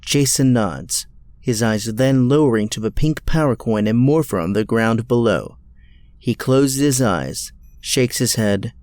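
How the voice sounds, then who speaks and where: A man narrating an audio drama from a script in a measured, dramatic storytelling style. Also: medium pitch, darkish tone, no echo, studio quality.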